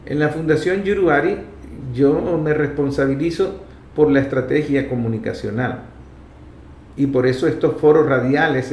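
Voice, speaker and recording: A man's voice: mid-range voice, a little mellow. Venezuelan man commentating in a roomy echo. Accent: Venezuelan Spanish. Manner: emphatic, didactic, repeats key phrases. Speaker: radio program host and commentator. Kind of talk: political commentary monologue. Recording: roomy echo, faint background noise.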